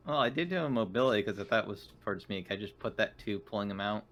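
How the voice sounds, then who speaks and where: Low-pitched man chatting at a game table. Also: fast talker, a bit bright. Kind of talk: casual game-table chat.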